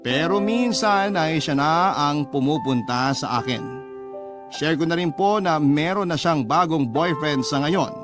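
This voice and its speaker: A man's voice: on the high side, a little slow, lightly acted. A man reading a first-person love story letter as scripted narration, with music behind him. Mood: reflective. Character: letter sender telling own love story. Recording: clear music bed behind voice.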